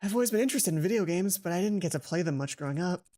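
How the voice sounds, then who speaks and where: A woman's voice: fairly low pitch, lively intonation, very fast, warm tone. A woman voicing an eager but nervous teenage schoolgirl in a visual novel, hesitant and polite. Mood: chatty.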